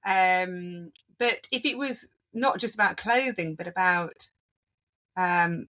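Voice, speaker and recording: A woman's voice: lowish voice, slow, pushed and bright. A woman with a Yorkshire accent hosting a podcast interview, chatty and reflective, often restarting her sentences. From Yorkshire, England. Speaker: podcast host.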